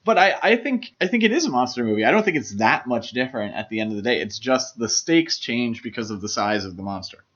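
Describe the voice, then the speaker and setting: A man's voice: medium pitch, animated, quick pace, hardly any pauses, fairly bright tone, breathy edge, highs cut off. A man co-hosting a podcast, talking casually about films. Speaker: podcast co-host. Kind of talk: casual film discussion.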